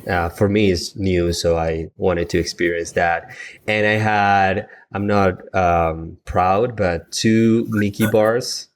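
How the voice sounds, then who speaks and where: Man, colombian accent, very low voice, halting with fillers, casual podcast chat. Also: fairly even intonation. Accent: Colombian Spanish. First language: Spanish. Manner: halting, many fillers and restarts. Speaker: travel agent, guest.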